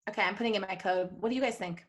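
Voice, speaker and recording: A woman's voice: mid-range voice, rapid-fire. A woman co-hosting a casual, joking live podcast, chatty and prone to giggling. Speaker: podcast co-host.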